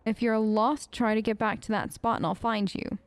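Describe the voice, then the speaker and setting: A woman's voice: fairly high pitch, fairly even intonation, normal speed, no echo. A woman hosting a role-play let's play, chatty and playful, talking close to the microphone. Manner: playful, bantering. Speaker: gaming video host.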